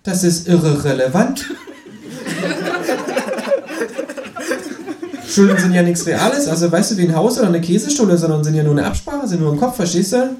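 Ein Mann spielt ein freches Känguru. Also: male voice, expressive, fast talker, crowd noise. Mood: lecturing. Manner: high, cocky and mock-innocent. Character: cheeky scheming male kangaroo, fond of wordplay. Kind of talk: live reading, acted dialogue. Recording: echoey room with audience laughter.

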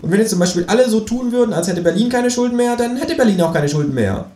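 Ein Mann spielt ein freches Känguru. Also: male voice, expressive, quick pace, dark tone. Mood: smug. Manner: high, cocky and mock-innocent. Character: cheeky scheming male kangaroo, fond of wordplay. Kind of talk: live reading, acted dialogue. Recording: echoey room with audience laughter.

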